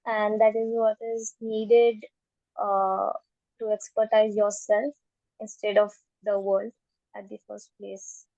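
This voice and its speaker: A woman's voice: medium pitch, little pitch movement, slow pace, gravelly, mellow, no room sound. A woman giving a reflective, thoughtful answer on a video call. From India. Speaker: program participant. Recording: muffled dry video call audio.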